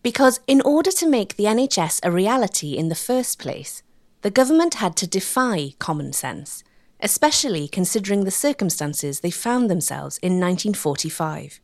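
Casting: female documentary narrator, scripted read with highly varied, expressive pitch. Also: moderate pace.

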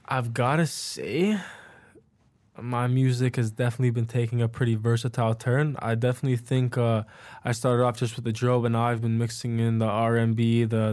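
Young guy on a podcast, chill and easygoing, kinda flat voice. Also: mid-range voice. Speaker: rapper guest. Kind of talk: casual interview talk.

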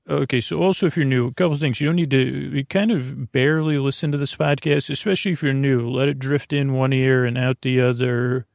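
Creaky-voiced man rambling softly at bedtime. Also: mid-range voice, fairly even intonation, a little fast, few pauses, no room sound, clean studio sound. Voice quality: creaky, soft and lulling. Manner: rambling and goofy, with many fillers and restarts. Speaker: sleep podcast host. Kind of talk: meandering bedtime talk to help listeners sleep.

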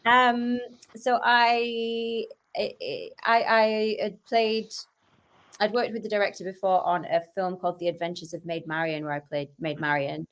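A woman's voice: mid-range voice, steady tone, unhurried. A woman podcast guest, an actress and comedian, chatting casually and telling anecdotes, often acting out the dialogue.

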